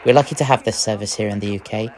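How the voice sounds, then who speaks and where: A man's voice: lowish voice, fairly even intonation, rapid-fire. A man giving a scripted voice-over narration for a pilot's vlog. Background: music.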